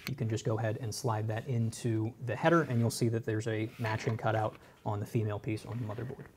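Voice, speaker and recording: Mellow man, patient tech explainer with groaner jokes, slight background hiss. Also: lowish voice, flat delivery, quick pace. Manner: explains patiently, tells corny dad jokes. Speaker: tech co-host. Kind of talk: casual live-stream explanation.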